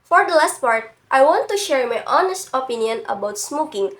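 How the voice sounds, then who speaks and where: Brief: young woman, stuffy from a cold, high voice, careful read-through of a student presentation. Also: lively intonation, fairly bright tone. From Indonesia. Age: young adult. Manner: careful, slightly halting non-native English. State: has a cold. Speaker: university student. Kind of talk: reading a prepared class presentation.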